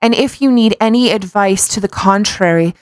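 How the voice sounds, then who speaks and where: A woman with a medium-pitched voice, recorded dry and close. She co-hosts a podcast, reading aloud in a mocking, playful way and often tripping over her words. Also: moderate pace, clear, resonant tone, no room sound. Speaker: podcast co-host. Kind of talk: mocking read-aloud with casual banter.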